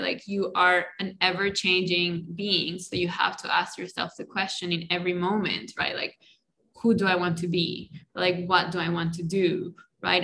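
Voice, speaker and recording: A woman's voice: lowish voice, low-quality recording. A woman from Colombia with a Colombian Spanish accent, speaking English as a second language as a guest on a podcast interview. She talks in a reflective, casual way and often checks in with "right?" and "you know.". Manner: warm reflective talk with many "right?" and "you know" tags. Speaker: guest, life coach.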